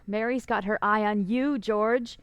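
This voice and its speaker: A woman's voice: mid-range voice, steady tone, unhurried, clean tone, dry recording, lightly acted. A woman playing a fond, matchmaking mother in a radio drama, coaxing as she talks. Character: fond, nudging, matchmaking middle-aged mother.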